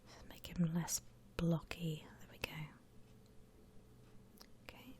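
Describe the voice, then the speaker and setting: A woman whispering gently and breathily as she guides listeners through a drawing, in the style of an ASMR tutorial. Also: on the low side, flat delivery. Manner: gentle, unhurried step-by-step explaining. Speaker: host of an art ASMR channel.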